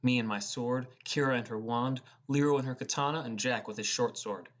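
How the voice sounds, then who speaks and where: A man's voice: mid-range voice, fairly even intonation, brisk. A man reading first-person fantasy narration as an audiobook narrator. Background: music.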